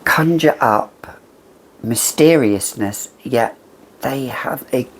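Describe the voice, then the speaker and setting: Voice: medium pitch, slow pace, slightly rough, rough recording. A perfume reviewer chatting casually and emphatically to camera, with a whimsical, conversational manner.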